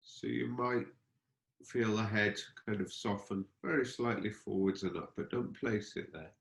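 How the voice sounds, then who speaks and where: A man with a fairly low voice guides a meditation in a roomy, echoing space. He speaks as a teacher, gently and without hurry. His phrases are exploratory, with pauses between them. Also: fairly even intonation, gravelly.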